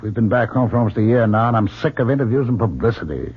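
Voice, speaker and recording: An actor playing a practical, doubting husband and explorer in an old-time radio drama. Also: male voice, medium pitch, lively intonation, quick pace, husky. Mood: irritated. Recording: phone-like old radio recording, faint noise.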